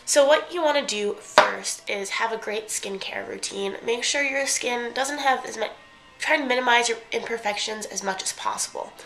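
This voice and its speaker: A woman's voice: fairly high pitch, normal speed, music in the background, low-quality recording. A young woman with a stuffy, congested voice, chatting casually through a makeup tutorial and often correcting herself mid-sentence. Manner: chatty, rambling, self-correcting. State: congested from a cold. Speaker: beauty vlogger.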